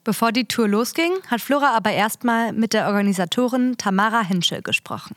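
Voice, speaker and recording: A woman's voice: fairly high pitch, fairly quick, fairly bright tone, dry recording, clean studio sound. A twenty-year-old woman from Berlin presenting a scripted radio magazine as host. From Berlin, Germany.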